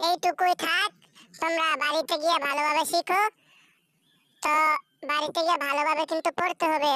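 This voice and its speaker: A woman's voice: high voice, pushed and bright, dry recording. A woman voicing a patient cartoon teacher. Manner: patient, instructive, recites slowly for repetition. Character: kind female teacher of young children. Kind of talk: cartoon voice acting.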